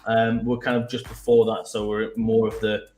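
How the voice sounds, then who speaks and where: A man with a Mancunian accent, co-hosting a casual business podcast and explaining web development in a conversational, halting way. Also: lowish voice, completely flat delivery, a little mellow, reverberant, faint music behind. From Manchester.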